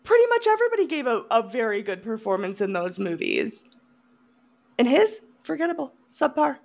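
Animated, high-voiced woman chatting about films. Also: normal speed, vocal fry. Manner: blunt, opinionated, giggly. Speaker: podcast co-host. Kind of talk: casual film chat.

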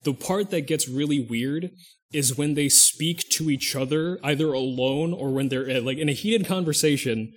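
A man's voice: fairly high pitch, moderate pace. A man co-hosting a podcast in casual chat, rambling and often stopping to correct himself mid-sentence. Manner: rambling, thinks aloud, restarts and self-corrects often. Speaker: podcast co-host.

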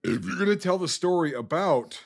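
A man's voice: a little high, sing-song, normal speed, gravelly. A man on a film podcast, ranting casually about a movie he disliked, swearing often and restarting his sentences as he goes. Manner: profane, exasperated, rambling with restarts.

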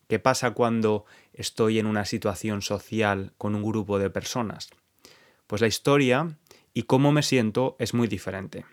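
A young man from Spain with a Spanish accent, hosting a podcast and explaining things clearly and calmly to Spanish learners. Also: lowish voice. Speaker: podcast host teaching Spanish. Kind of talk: scripted podcast monologue for learners.

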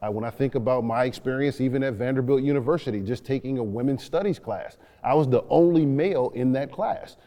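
Mellow-voiced man talking in long reverb. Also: medium pitch, fairly quick, hardly any pauses. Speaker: co-host, youth mentor. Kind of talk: reflective discussion talk.

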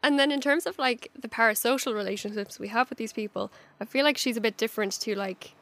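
A woman's voice: fairly high pitch, fast talker, fairly bright tone. An Irish woman hosting a podcast chat, reflective and searching for her words. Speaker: podcast host. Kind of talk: casual chat.

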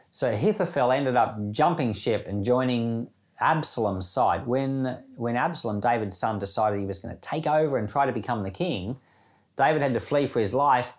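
A man hosting a church Bible podcast, reading scripture and giving informal devotional commentary. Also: mid-range voice.

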